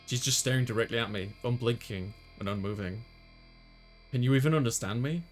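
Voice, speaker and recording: A man hosts a gaming video, reading visual novel narration and dialogue aloud. His pitch sits at a middling level, and he slips in casual asides. Music plays behind him. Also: a little fast.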